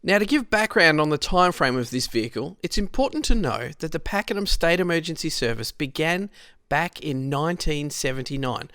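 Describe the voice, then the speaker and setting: Australian man narrating, higher-pitched voice, dry sound. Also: a bit bright, dry recording. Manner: storytelling, addresses the listener directly. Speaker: narrator, rescue unit member. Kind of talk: scripted historical narration with conversational asides.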